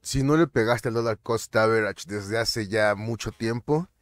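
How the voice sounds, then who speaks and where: A young Mexican man with a Mexican accent, talking casually on his finance vlog, animated and often stumbling over and repeating words. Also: fairly low pitch, measured pace, soft background music. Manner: animated, chatty, stumbles and repeats words, slips in English terms. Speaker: finance vlogger. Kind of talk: casual commentary over screen captures.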